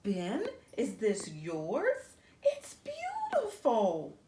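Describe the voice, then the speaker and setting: A woman's voice: a little high, huge pitch swings, drawn-out words, mellow, pitched above the performer's own voice, full character voice. A woman voicing a kind, encouraging female teacher who comforts a young pupil in a children's storybook read-aloud. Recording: roomy echo, faint background noise.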